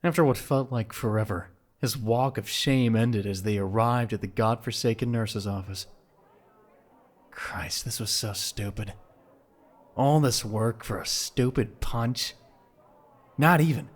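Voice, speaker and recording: A man's voice: mid-range voice, measured pace. A man giving scripted, dramatic story narration for an audio drama.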